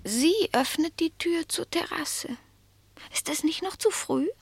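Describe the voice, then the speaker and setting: High-voiced, slow, vain cat. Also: female voice, vocal fry, no echo, full character voice. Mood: delighted, savouring. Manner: savouring, theatrical, self-absorbed. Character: vain, sensuous, dainty female-voiced pet cat. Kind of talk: literary radio play monologue.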